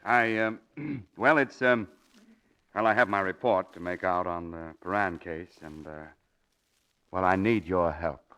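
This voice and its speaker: A blustering police commissioner from a radio drama of the forties. His low voice hems and haws with sheepish, throat-clearing awkwardness. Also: measured pace, pushed and bright. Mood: embarrassed bluster. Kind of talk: 1940s radio drama acting.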